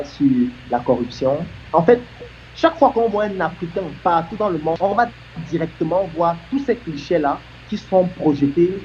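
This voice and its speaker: A man with a fairly high, mellow voice argues his point earnestly, stacking example on example. The sound is a bit muffled, with a faint hiss underneath. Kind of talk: argumentative discussion.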